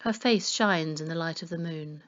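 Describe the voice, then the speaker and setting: A woman's voice: deep voice, normal speed. Woman reading a story, slightly dull recording. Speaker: story reader. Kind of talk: expressive children's audiobook narration.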